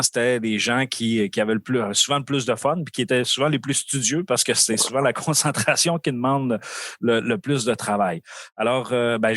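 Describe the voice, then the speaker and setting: A man's voice: mid-range voice, a bit bright, no echo, clean studio sound. A man with a Québécois accent hosting a casual round-table podcast, joking and hesitant with many fillers. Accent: Québécois French. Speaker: podcast host.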